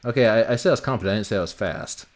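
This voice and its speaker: A man's voice: on the low side, racing. A man hosting a casual development livestream, joking and swearing, sometimes muttering to himself as he works. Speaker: livestream host and game developer. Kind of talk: casual chat while working on a game. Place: online voice call during a development stream.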